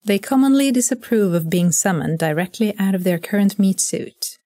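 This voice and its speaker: A woman's voice: medium pitch, lively intonation, normal speed, mellow, no room sound, studio quality. A woman narrating a scripted story as a storyteller for an audio drama.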